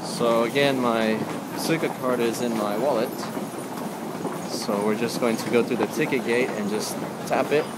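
A young adult man casually narrating a walking vlog in a plain, low-key way, recorded on a handheld camera. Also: fairly even intonation, measured pace. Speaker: vlogger. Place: outdoors in rain and busy train stations. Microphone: handheld camera at arm's length. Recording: loud street and station noise, handheld camera. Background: urban ambience, trains, vehicles.

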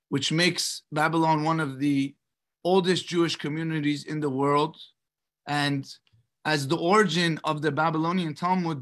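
A middle-aged American man speaks with a fairly high voice. He delivers a prepared, personal address as a rabbi on a video call, measured and a little halting. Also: a bit bright. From Los Angeles, United States. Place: indoors in a book-lined study, on a video call. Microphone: computer mic on a video call.

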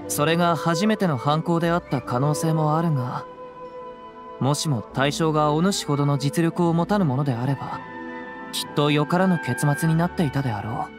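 A man plays a young wandering samurai from an anime game. He is composed and humble, speaking in old-fashioned courteous phrases at a fairly high male pitch. Music sits clearly beneath the voice. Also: moderate pace, long pauses, natural voice. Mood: thoughtful, humble. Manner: archaic samurai speech, calm and courteous. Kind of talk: Japanese anime game dialogue. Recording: music under the voice.

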